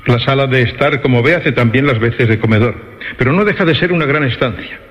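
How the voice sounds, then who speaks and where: Male agency clerk for radio suspense, acted by a man: courteous, measured, insistent, dull band-limited sound with long reverb. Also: medium pitch, natural voice. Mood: courteous persuasion. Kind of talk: Spanish-language suspense radio drama acting.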